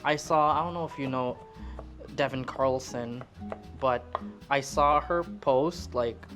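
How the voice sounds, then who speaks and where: A woman's voice: very deep voice, slow pace, music in the background. A woman in her twenties from the Philippines, chatting casually and self-deprecatingly while she cooks in her home kitchen. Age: 20s. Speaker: cooking vlogger. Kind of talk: casual rambling chat while cooking.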